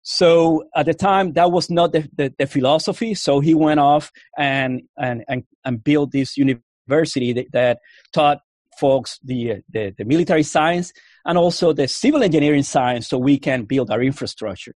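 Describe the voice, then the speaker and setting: A man with a Cuban accent and a slightly high-pitched voice recounts his path into the Army as an interview guest. He rambles, doubling back and restarting often. The sound is dry and close, with no room around it. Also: normal speed, a little mellow, dry recording. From Puerto Rico. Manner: rambling, full of restarts and fillers. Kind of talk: casual interview storytelling.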